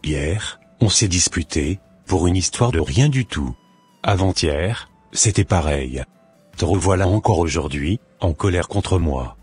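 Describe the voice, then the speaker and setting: A man playing a weary, reproachful husband in a cartoon, speaking in deliberate short phrases. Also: low-pitched, lively intonation, darkish tone, slightly rough, faint music behind, exaggerated voice. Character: exasperated husband fed up with quarrelling. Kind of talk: scripted cartoon dialogue.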